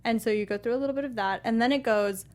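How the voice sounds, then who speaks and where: A woman's voice: fairly high pitch, quick pace. A woman chatting casually as co-host of a film review show. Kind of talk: casual chat.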